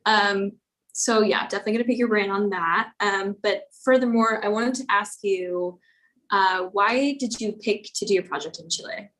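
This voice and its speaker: A young woman asks a friendly question on a video call, with casual fillers throughout. Her voice sits at ordinary pitch. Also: moderate pace, fairly bright tone, low-quality recording. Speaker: fellow Fulbright student.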